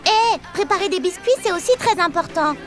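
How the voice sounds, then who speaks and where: Gentle kind teen girl in a cartoon, very high voice. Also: a little fast, over music. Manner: gentle, friendly. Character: kind, modest teenage girl who bakes cookies. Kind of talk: French cartoon dubbing.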